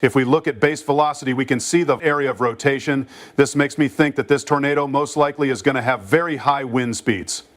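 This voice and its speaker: A man's voice: medium pitch, a little fast, close to an everyday voice. A man playing a TV meteorologist in amateur mock storm coverage, explaining casually and urging caution. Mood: explanatory. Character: concerned male TV meteorologist covering a dangerous tornado. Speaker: weather presenter.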